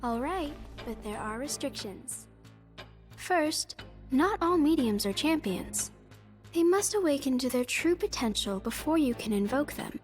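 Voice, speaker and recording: An actress playing a composed otherworldly guide in a video game, explaining things in a measured, instructive way. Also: female voice, sits high, lively intonation, faint music behind. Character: otherworldly woman guide who explains summoning rules, composed. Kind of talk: video game cutscene acting.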